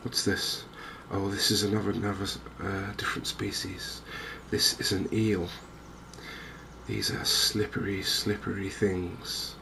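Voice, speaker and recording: A man gives gentle, softly spoken ASMR commentary on a game, chatty and unhurried with hesitant pauses. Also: sits low. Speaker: gaming video host. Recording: clear steady background noise and ambience.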